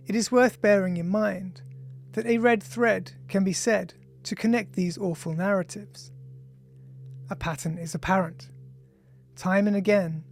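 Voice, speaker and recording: An author reading their own nonfiction audiobook in a measured, formal, deliberate way. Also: medium pitch, creaky, darkish tone. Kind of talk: scripted audiobook narration of an essay.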